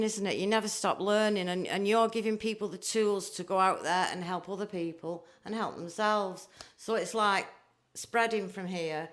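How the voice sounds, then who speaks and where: A woman interviewing a guest, agreeable and chatty, ending points with tag questions. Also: mid-range voice. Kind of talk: conversational interview.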